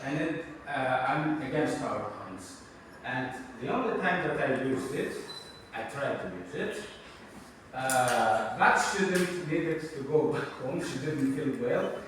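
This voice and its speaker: A middle-aged man with a Greek accent giving an unscripted university conference talk, halting with many fillers and restarts and a dry self-deprecating humor. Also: mid-range voice, animated, background noise. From Greece. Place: large university lecture hall. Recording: echoey lecture hall recorded from far off.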